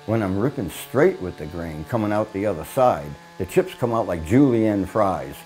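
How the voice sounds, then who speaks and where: A man's voice: fairly low pitch, animated, normal speed, darkish tone, soft background music, poor audio. An older man, a shipwright, explaining chainsaw work step by step outdoors in a plainspoken, practical way, recorded on a lapel mic. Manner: plainspoken, practical, folksy asides. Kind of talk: hands-on instructional explanation. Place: outdoors in a grassy yard by a mill.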